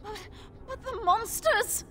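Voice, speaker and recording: An actress playing a frightened, timid young castle maid in a fantasy video game, pleading hesitantly in a trembling voice. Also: female voice, extremely high pitch, slow, fairly bright tone, soft background music, full character voice. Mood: anxious. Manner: tremulous, hesitant, breathless pleading.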